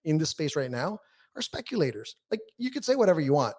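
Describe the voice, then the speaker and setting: A man's voice: fairly high pitch, big pitch swings, quick pace, darkish tone. A man speaking as a podcast guest, explaining things candidly and emphatically in casual interview talk. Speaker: podcast guest, newsletter writer.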